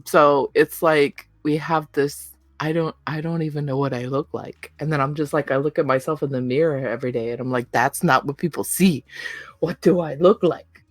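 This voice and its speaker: A woman's voice: low voice, fairly even intonation, moderate pace, no room sound, studio quality, faint music behind. A young woman co-hosting a podcast, chatting casually, rambling with lots of fillers and giggles. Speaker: podcast co-host. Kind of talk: casual chat.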